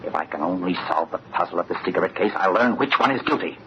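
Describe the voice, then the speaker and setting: An actor playing an earnest, agitated young fiancé in an old-time radio mystery, speaking with dramatic insistence. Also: male voice, sits low, expressive, quick pace. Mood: brooding, intent. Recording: muffled, noisy old radio recording.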